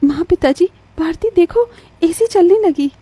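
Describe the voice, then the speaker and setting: An earnest poor village girl from a cartoon fable played by a woman. Her voice is high yet very mellow. She sounds plaintive and hopeful, recorded dry with music behind. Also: lively intonation, unhurried, no room sound. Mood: excited. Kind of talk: animated moral story dialogue.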